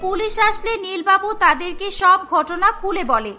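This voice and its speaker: A woman's voice: extremely high pitch, expressive, unhurried, pushed and bright. A woman narrating a scripted cartoon story as the storyteller. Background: music.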